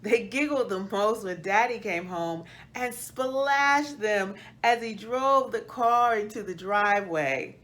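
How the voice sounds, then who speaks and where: A middle-aged woman hosting a children's read-aloud, reading storybook style with deliberate, expressive phrasing. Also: mid-range voice. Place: small plain indoor room.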